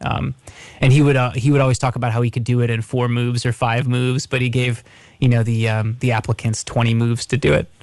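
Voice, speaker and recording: A man co-hosting a radio show in a conversational, measured way, with hesitations and repeated words. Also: mid-range voice, level tone, dry recording.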